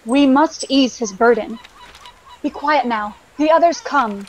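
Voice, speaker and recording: A woman playing a gentle, grateful shipwrecked noblewoman, gracious and formal, in a Shakespearean audio drama. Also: high voice, a little slow, slight background noise. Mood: gentle concern.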